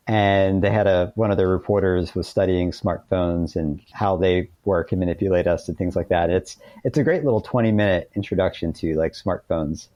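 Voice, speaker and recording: A man's voice: low voice, dark tone, no echo. A man speaking as an interview guest, explaining things casually through informal anecdotes. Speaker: podcast guest, author.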